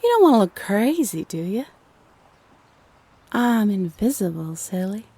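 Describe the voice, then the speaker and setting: Woman as a sly, husky, teasing invisible spirit. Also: mid-range voice, big pitch swings, a little slow, dark tone, full character voice. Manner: sly, playful, purring. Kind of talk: roleplay audio drama.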